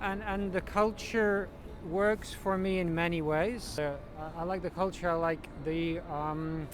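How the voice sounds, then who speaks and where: A man's voice: sits high, steady tone, a little slow. A middle-aged man from the north-west of England with an English accent, chatting casually and diplomatically as an interviewee. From Wigan area, north-west England. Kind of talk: casual chat. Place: outdoors in a city. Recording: noisy outdoor street with traffic.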